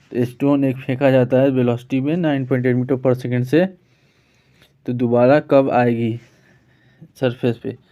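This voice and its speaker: Indian guy teacher going thru exam questions, super monotone, smooth voice, kinda muffled lofi audio. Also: mid-range voice. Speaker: physics teacher. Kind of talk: informal exam-prep lesson, solving questions. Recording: dull, lo-fi sound.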